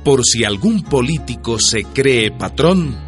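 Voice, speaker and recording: A man's voice: medium pitch, animated, takes it slowly, soft background music. A man narrating a radio drama as a solemn, reverent storyteller. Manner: solemn, declamatory.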